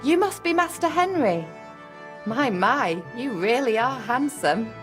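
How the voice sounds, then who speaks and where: A woman's voice: fairly high pitch, sing-song, unhurried, soft background music. A woman playing a flirty, cheerful medieval serving woman with a coy, teasing manner in a video game. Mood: flirty, pleased. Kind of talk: naturalistic game dialogue.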